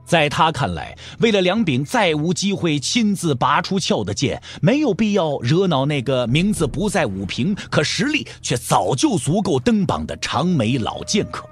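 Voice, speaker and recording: A man's voice: on the high side, big pitch swings, normal speed, few pauses, soft background music. A man narrating a martial arts novel for an audio drama in a dramatic storytelling style.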